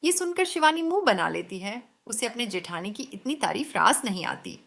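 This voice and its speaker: A woman's voice: a little high, very animated, moderate pace, gravelly, fairly bright tone. A woman narrating a scripted story as the storyteller.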